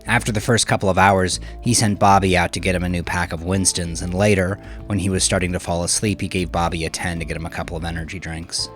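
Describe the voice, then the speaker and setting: Deep male crime-story narrator. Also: little pitch movement, fast, hardly any pauses. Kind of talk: scripted crime fiction narration. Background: music under the voice.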